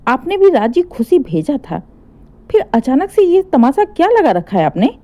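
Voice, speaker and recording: Female storyteller as protective older mother, family story, firm, sarcastic, emphatic, lively swooping pitch. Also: moderate pace. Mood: indignant, accusing. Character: indignant, protective older mother defending her married daughter.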